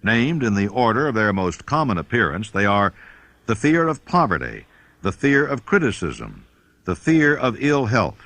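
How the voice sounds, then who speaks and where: A man reading a self-help audiobook in a measured, emphatic, formal way. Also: fairly low pitch, sing-song, fairly bright tone, dry recording. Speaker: audiobook reader. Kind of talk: scripted audiobook narration of a self-help book.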